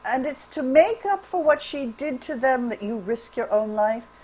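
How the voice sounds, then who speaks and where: Woman reading as an earnest, animated troubled gentleman. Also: high-pitched, moderate pace, slight background noise, poor audio. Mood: troubled, concerned. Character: earnest, troubled gentleman, close friend of the hero.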